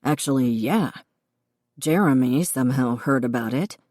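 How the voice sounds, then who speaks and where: A woman doing a deadpan young man. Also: moderate pace, dry recording. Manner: low, deadpan, dry. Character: young man, gruff, dry-humored brother.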